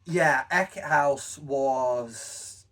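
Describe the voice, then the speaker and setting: A man's voice: mid-range voice, drawn-out words, a bit bright, far from the mic. A man co-hosting a casual chat, rambling and self-deprecating, with a lot of stumbling restarts. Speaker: co-host.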